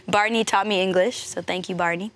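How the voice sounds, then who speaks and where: A woman's voice: mid-range voice, moderate pace, fairly bright tone. A young woman from the San Francisco Bay Area, chatting as a singer guest in an interview, laughing easily and saying "like" a lot. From United States (San Francisco Bay Area). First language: French. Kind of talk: casual interview.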